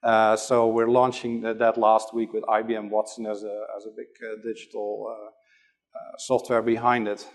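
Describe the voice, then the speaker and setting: A man's voice: fairly low pitch, little pitch movement, normal speed. A middle-aged man from the Netherlands with a Dutch accent, giving a conference talk and hesitating often with fillers. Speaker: conference presenter.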